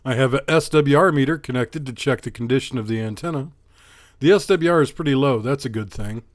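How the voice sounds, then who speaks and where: A man hosts a scripted electronics explainer. His voice sits at an average pitch and his delivery is plain and instructional. The recording is close and dry, with little room sound. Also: brisk, no room sound. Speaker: host and narrator of an electronics channel.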